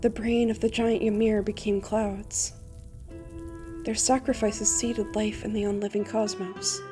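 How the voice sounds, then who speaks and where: A woman voicing a gentle, playful boyish bard with poised, lyrical storytelling in a theatrical manga dub. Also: mid-range voice, flat delivery, a little slow, music in the background, close to an everyday voice. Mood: lyrical, reverent.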